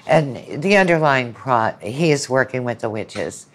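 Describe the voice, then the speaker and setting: A woman's voice: very deep voice, animated, unhurried. A middle-aged woman guest, a lecturer, explaining her opinions conversationally with anecdotes and quoted asides. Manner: opinionated, analytical, quotes imagined speakers.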